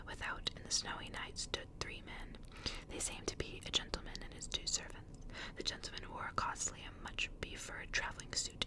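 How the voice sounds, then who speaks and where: Whispering woman reading a horror story. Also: poor audio. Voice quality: breathy unvoiced whisper. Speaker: ASMR reader and channel host.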